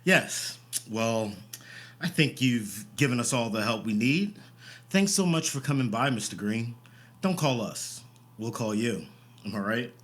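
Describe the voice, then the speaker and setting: An actor playing a sardonic, insinuating police detective in a radio play, needling and mock-polite. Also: male voice, mid-range voice, animated, unhurried, close to an everyday voice. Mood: smug, dismissive.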